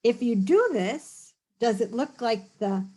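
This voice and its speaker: Slow, patient woman reading aloud to kids. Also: a little high, expressive, dark tone. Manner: patient, explains slowly, often checks in with "okay?". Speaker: storybook reader and teacher. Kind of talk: reading a picture book aloud to children, then drawing tips.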